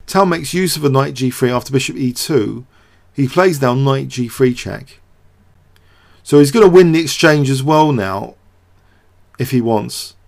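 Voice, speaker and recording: A man's voice: mid-range voice, a little slow, gravelly, a little mellow. A man giving off-the-cuff game commentary as a show host, hesitant and thinking aloud with repeated words.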